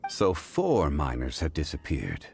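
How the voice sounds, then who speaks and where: A man playing a composed, scholarly investigator in a video game, speaking formally and deliberately as he reasons. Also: a bass voice, wildly swooping pitch, vocal fry, a little mellow, slight background noise, heavily acted. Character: composed, scholarly gentleman investigator, analytical and measured. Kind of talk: video game character acting.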